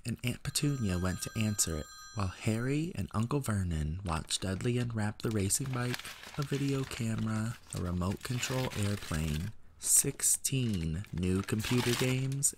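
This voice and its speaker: A man's voice: fairly low pitch, noisy background. A man reading a story aloud as narrator, in scripted storybook style. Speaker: narrator, amateur audiobook reader.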